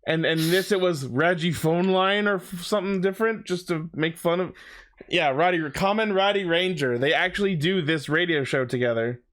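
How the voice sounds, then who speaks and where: A man's voice: high-pitched, normal speed, a bit bright. A man co-hosting a podcast, talking casually and informally about a TV show with some swearing. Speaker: podcast co-host. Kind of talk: casual fan discussion of a TV show.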